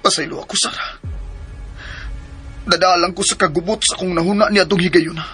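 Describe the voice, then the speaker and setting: A man's voice: high voice, steady tone, fairly quick, sharp tone, soft background music. A man acting in a radio drama as a troubled, remorseful young man.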